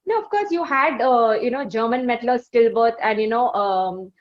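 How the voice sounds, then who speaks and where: A woman's voice: on the high side, normal speed. A woman with an Indian accent hosting a conversational interview, phrasing her questions in a hesitant, wordy way. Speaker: interviewer and podcast host. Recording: dull, lo-fi audio.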